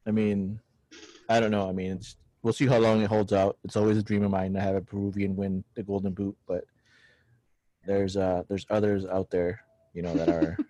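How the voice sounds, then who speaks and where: A man's voice: sits low, level tone, normal speed, mellow, dry recording, poor audio. A man co-hosting a casual sports podcast, hesitant and joking, with many fillers. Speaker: podcast co-host.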